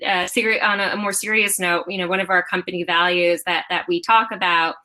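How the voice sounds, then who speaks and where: Bright, chatty woman founder. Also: fairly low pitch, a little fast. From half Japanese. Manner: animated, many fillers and restarts. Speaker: founder and CEO of a clothing brand. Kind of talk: interview answers.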